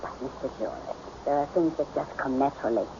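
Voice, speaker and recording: An actor playing a patient, reasoning doctor who gently questions a patient, in old-time radio drama style. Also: male voice, mid-range voice, brisk, natural voice. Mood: patient, probing. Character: patient, probing male doctor counselling a patient. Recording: dull, noisy old radio broadcast.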